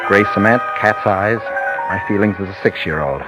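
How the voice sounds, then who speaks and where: An actor playing a scheming prisoner who feigns guilt-crazed madness, in melodramatic vintage radio drama style, swinging between muttered asides and rants. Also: male voice, on the low side, normal speed, over loud music, lightly acted, rough recording. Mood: gleeful scheming.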